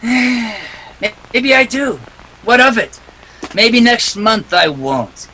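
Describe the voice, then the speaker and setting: A man's voice: very high-pitched, big pitch swings, extremely slow, sharp tone, husky, heavily acted, rough recording. A man acting a hard-boiled, unyielding private detective in 1940s radio drama style, tough and emphatic. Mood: torn, resolute.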